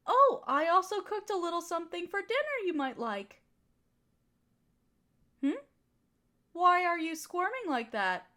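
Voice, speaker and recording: Casting: actress as affectionate, softly dominant female partner for intimate audio roleplay; high, very animated, teasing and comforting. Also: full character voice. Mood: warm, attentive. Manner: flirty, soothing, playfully teasing.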